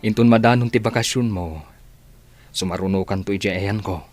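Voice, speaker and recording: Radio-drama dad, male actor doing a low, gentle, soothing father, soft music under. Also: fairly even intonation, fairly quick, lightly acted. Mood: reassuring. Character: reassuring middle-aged father.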